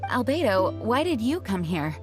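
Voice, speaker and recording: A woman's voice: fairly high pitch, very animated, moderate pace, over music. A woman playing a poised, earnest leader in a video game, speaking formally and courteously. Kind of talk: video game cutscene acting.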